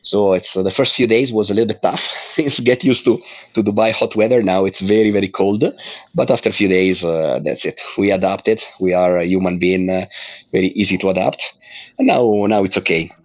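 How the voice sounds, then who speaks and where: Low-voiced Italian-accented man. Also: fairly quick. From Italy. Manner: hesitant, many uh fillers, laughs while talking. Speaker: guest. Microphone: video call.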